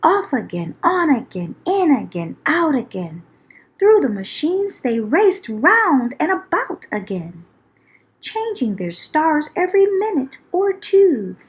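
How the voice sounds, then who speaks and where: Female children's narrator: theatrical rhyming read-aloud, unhurried delivery with wide, lively pitch. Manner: theatrical, expressive storytelling. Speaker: storybook narrator.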